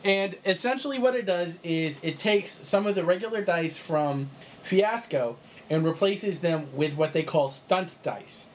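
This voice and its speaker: A man's voice: high voice, measured pace, slight background noise. A man running a tabletop game session, explaining the rules in a chatty way with lots of fillers and restarts. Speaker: game master.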